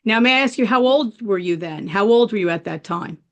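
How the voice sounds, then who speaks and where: A woman's voice: mid-range voice, lively intonation, fairly quick, dull audio. Attentive woman hosting an interview. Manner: attentive, frequent affirming murmurs. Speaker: host. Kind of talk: interview questions and channel promo.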